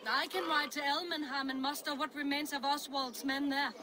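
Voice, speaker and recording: A woman's voice: sits high, steady tone, moderate pace, crowd noise, lightly acted. An actress playing a steadfast medieval woman leader in a video game, earnest and assured as she speaks. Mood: rallying. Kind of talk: video game cutscene acting.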